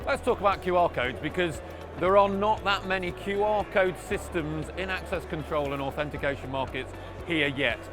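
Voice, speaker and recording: A man with a slightly raised pitch presents in a conversational promotional interview. He explains each point and often turns it into a question for his guest. Music plays clearly behind his voice. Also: moderate pace. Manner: explanatory, prompts with tag questions. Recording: background music under the voice.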